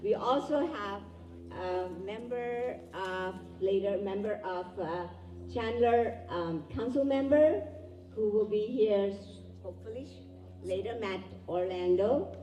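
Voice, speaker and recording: A woman whose first language is Urdu delivers a measured, formal address to an audience. Her voice is mellow and dark in tone. She sounds distant from the microphone, caught in a roomy, echoing hall. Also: medium pitch, dull audio. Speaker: event emcee and educator. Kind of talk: formal welcoming speech. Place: community event hall. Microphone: distant room mic. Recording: echoey hall, voice far from mic.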